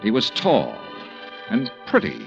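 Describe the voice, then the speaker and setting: A hardboiled American insurance investigator in an old radio drama. His low voice swings expressively while staying clipped and dry, with faint music in the recording. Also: wildly swooping pitch, takes it slowly, creaky, exaggerated voice. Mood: dry, observant. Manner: clipped, dry, matter-of-fact. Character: shrewd, persistent male freelance insurance investigator, hardboiled narrator. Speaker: actor playing lead detective and narrator. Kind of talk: old-time radio detective drama acting, first-person narration.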